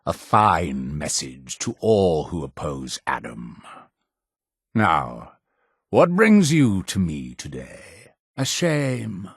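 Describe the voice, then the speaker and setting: A zealous male cult leader for a video game. His delivery swings widely in pitch, grand and deliberate like preaching to the faithful. The voice is solemn and fanatical, recorded dry and close. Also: very animated, slightly rough, no room sound, full character voice. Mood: solemn, measured. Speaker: game character voice actor. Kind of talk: theatrical video game dialogue.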